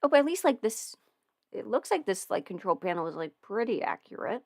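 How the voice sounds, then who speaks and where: A woman hosts an informal livestream in a medium-pitched voice. She talks hesitantly, often breaking off and restarting her thought as if working it out aloud. The sound is dry and close, with very little room around her. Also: big pitch swings, brisk, creaky, a little mellow, no echo. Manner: hesitant, self-interrupting, thinking aloud. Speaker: livestream host, audio roleplay creator. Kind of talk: casual rambling livestream Q&A.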